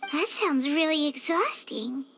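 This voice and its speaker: A woman voicing a tiny, excitable childlike fairy companion in a game, with a chirpy, squeaky delivery. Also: a little slow, faint music behind, heavily acted. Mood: curious. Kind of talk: game character acting.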